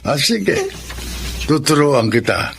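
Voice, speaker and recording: An actor playing a kindly grandfather near seventy in a radio drama, patient and gentle in manner. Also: on the high side, very animated, creaky, heavily acted. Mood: kindly, amused. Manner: unhurried, chuckling, patient. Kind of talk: Filipino radio drama acting. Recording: faint background ambient noise.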